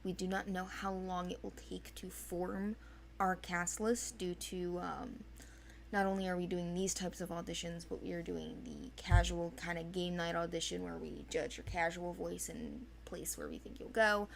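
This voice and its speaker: A woman's voice: lowish voice, steady tone, normal speed, creaky, slight background noise. A woman giving an informal, unscripted explanation in a hesitant, rambling way, as a casting organizer.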